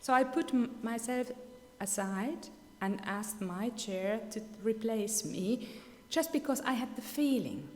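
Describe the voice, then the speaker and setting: A woman's voice: a little high, animated, a little slow, darkish tone. A woman from Finland with a Finnish accent giving an earnest, emphatic keynote speech, often restarting her sentences. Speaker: keynote speaker. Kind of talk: keynote speech at an award ceremony. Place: ceremony hall. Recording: long hall reverb.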